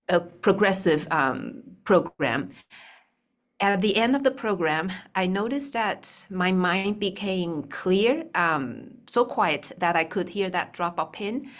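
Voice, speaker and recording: A woman from Taiwan, a speech-language pathologist, talking through her story in a video call interview with many pauses and ums. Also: on the low side. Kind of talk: casual interview talk. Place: bright room indoors.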